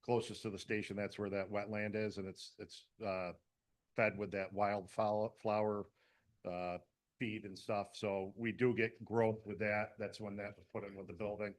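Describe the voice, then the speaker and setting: A man's voice: lowish voice, flat delivery, normal speed. A man presenting his fire department's building plans to a town planning board, talking casually with many fillers and restarts. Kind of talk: casual presentation at a public meeting.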